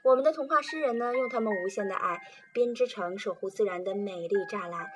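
A woman presenting and reading children's poetry in a calm, gentle storytelling manner. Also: medium pitch, brisk, music in the background. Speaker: reader and presenter.

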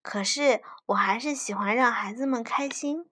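A woman voicing a carousel in a storybook, calm and patient. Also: on the high side, normal speed.

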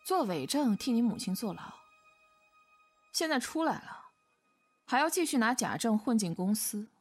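A woman playing a cold, vengeful company boss in an audio drama, speaking in a contemptuous, commanding way with a breathy voice. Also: a little high, very animated, normal speed. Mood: scornful. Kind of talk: modern audio drama acting. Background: faint music.

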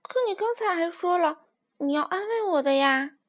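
A woman voicing a mischievous little circus girl who pleads in a high, bouncy voice. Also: big pitch swings, normal speed, fairly bright tone. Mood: pleading.

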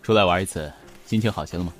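A cool cryptic young fortune teller, really low measured voice, suspense drama, music under. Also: male voice, fairly bright tone. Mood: reserved. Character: calm, guarded young fortune teller reading characters. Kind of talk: Chinese suspense audio drama acting.

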